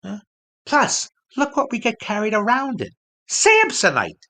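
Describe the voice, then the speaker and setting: An aging ventriloquist's dummy full of grievances in a comic audio drama. The voice is bright and forceful, swinging up and down as he complains emphatically and circles back on his own phrases. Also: very high voice, huge pitch swings, measured pace, exaggerated voice. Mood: indignant, griping. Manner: emphatic, griping, repeats himself. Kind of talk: comic radio play acting.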